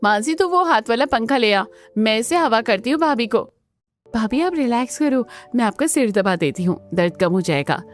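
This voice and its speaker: A woman's voice: fairly high pitch, lively intonation, moderate pace, soft background music, natural voice. A woman voicing kind, caring women of a family in a Hindi cartoon, speaking emphatically and expressively. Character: kind, indulgent mother and her caring, chirpy daughters.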